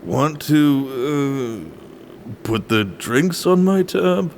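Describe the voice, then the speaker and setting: A man playing an awkward, gruff, hesitant man in an audio drama, with ambient noise behind him. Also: mid-range voice, expressive. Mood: nervous, hesitant. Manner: hesitant, halting. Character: awkward, gruff, tongue-tied man, shy with his crush.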